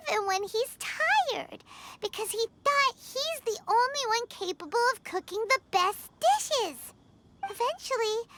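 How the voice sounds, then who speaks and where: A woman voicing a tiny, bubbly, bossy childlike fairy girl in an anime game, squeaky and excitable. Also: very noisy, full character voice. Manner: excitable, exclamatory storytelling. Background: music.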